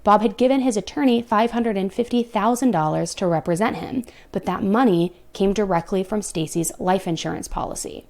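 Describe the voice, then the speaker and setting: A young woman narrates a true crime case in a casual, conversational way. She often stops to speak straight to her viewers with blunt, exasperated comments. Her voice sits at an ordinary pitch for a woman. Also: a little fast, vocal fry, hardly any pauses, a little mellow.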